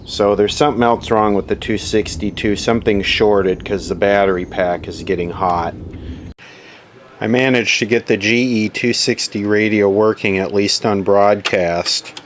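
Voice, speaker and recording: Low-voiced man, noisy workbench recording. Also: steady tone, measured pace. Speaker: vintage radio repair hobbyist presenting. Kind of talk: casual unscripted workbench demonstration. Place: indoor workshop at a workbench. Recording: noisy amateur camera audio.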